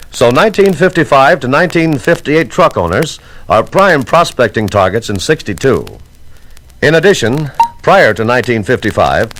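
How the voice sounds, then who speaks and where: A man's voice: mid-range voice, fairly bright tone, rough recording. An American man reading scripted 1960s sales training narration in an emphatic, instructional manner.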